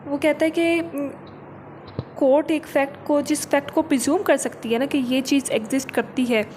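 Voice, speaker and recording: A woman's voice: sits high, steady tone, moderate pace, a little mellow, a little hiss or hum. A woman lecturing on law, reading out clauses and then explaining them didactically, repeating points and mixing in English legal terms.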